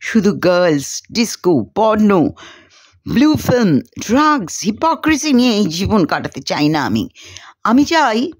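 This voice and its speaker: A woman's voice: mid-range voice, sing-song, unhurried, breathy edge, no room sound, clean studio sound. A woman voicing a restless, idealistic young male student in a storybook, declaiming earnestly and theatrically. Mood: passionate. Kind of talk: storybook character dialogue.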